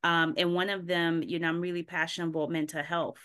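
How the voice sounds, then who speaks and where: Female guest raised in Haiti, smooth lower voice, pauses to think mid-sentence. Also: little pitch movement, fairly bright tone. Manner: thoughtful, searching, many ums. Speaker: podcast guest. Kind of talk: casual interview answers. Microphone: video call.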